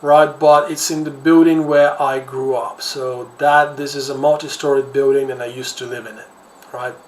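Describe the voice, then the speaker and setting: A man's voice: medium pitch, steady tone, moderate pace, darkish tone. Echoey, distant man with a Ukrainian accent. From Kherson, Ukraine. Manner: rambling, conversational, repeats words while searching for phrasing. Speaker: social commentator, host. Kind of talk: informal off-the-cuff news commentary. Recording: echoey room, distant mic, faint noise.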